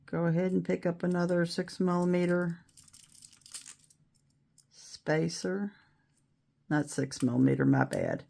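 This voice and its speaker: A middle-aged woman with a raspy voice after an illness, chatting casually and rambling a little while she shows how to make a beaded bracelet. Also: fairly low pitch, very animated, measured pace, long pauses, dark tone. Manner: chatty, rambling, self-deprecating. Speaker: beading video host. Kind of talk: casual tutorial chat.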